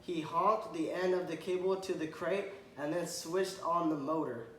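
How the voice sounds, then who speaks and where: A man's voice: a little high, steady tone, fairly bright tone. Man reading a picture book to a child. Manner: pauses to point at pictures and ask questions; clears throat often. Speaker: teacher reading aloud. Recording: echoey room, faint background noise.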